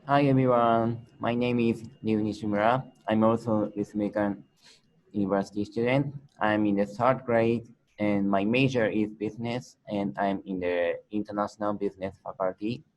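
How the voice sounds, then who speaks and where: A young man university student introducing himself briskly. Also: fairly low pitch, steady tone, a little mellow, rough recording. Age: young adult. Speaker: undergraduate student guest. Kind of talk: self-introduction.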